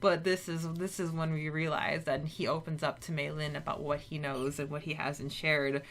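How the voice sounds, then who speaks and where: A woman with a slightly low voice co-hosts a podcast in a loose, conversational way. She recaps a story informally and slips into imagined lines as she goes. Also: level tone, moderate pace, a bit bright, a little hiss or hum. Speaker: podcast co-host. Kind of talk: casual chat, recapping and discussing a story.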